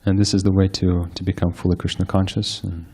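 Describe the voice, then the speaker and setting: A man giving a scripture lecture, soft-spoken and hesitant, with frequent fillers and restarts. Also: deep voice, flat delivery, a little fast. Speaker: speaker giving a scripture class. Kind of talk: sermon-style lecture. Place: temple hall with live audience.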